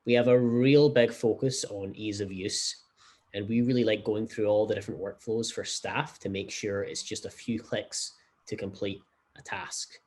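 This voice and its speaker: A man from Scotland with a noticeable Glasgow accent and a somewhat low voice. He talks in a measured, explanatory way, dropping in the occasional "um". He sounds like a guest on a remote interview call. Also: steady tone, darkish tone, slightly rough. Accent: Scottish, Glasgow. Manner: measured, explanatory, frequent fillers. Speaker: startup founder, guest. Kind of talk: conversational interview.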